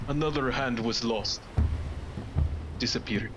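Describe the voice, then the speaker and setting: A man playing a slow, grave sea captain. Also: medium pitch, lightly acted. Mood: solemn, terse. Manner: clipped, halting phrases. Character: grave, dutiful male sea captain reading his ship's log. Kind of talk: radio drama acting, solemn terse log narration. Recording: noisy surf and ship ambience behind.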